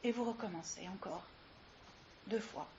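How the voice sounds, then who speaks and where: A woman leading a guided yoga relaxation as instructor, giving soothing, unhurried instructions with long pauses, recorded live. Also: mid-range voice. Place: live session with ambient sound. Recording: muffled live recording with clear hiss.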